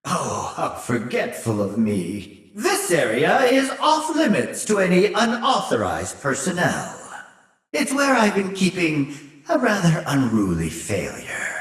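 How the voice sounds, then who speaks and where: An older mad scientist villain from a video game, gleefully condescending and sinister. His rather high voice swoops extravagantly through mock-courteous, drawn-out phrases. It rings in a roomy, echoing space. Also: wildly swooping pitch, fairly bright tone, heavily acted. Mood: condescending amusement. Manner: mocking, theatrically polite, drawn-out phrasing. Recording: roomy echo, distant from mic.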